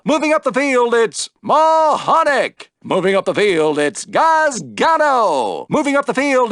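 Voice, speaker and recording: A man playing an excitable, brash alien podracing announcer in a video game, calling the race with showy, drawn-out emphasis. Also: very high voice, no echo, clean studio sound, exaggerated voice. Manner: exclamatory, showy, drawn-out emphasis on key words. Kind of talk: sports commentary for a video game.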